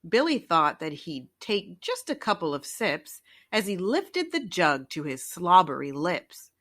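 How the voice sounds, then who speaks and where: A woman storyteller reading a children's picture book aloud in an expressive narrating style. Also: on the low side, measured pace.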